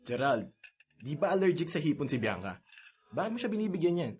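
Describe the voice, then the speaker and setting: An actor playing a reserved, guarded young older brother, speaking politely in a radio drama. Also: male voice, a little high, sing-song, fairly quick. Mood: concerned. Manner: restrained, polite. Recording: dull, band-limited radio drama audio.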